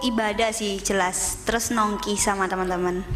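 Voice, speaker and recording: A nineteen-year-old woman hosting a youth service segment, chatting casually and relaxed. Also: mid-range voice, moderate pace, over music. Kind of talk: casual church interview answers. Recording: echoey church room.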